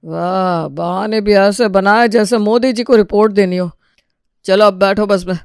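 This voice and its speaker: A man voicing a bossy, sarcastic middle-aged husband and father who nags in an animated family story. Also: sits high, measured pace, smooth, no room sound, studio quality. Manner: sarcastic, nagging.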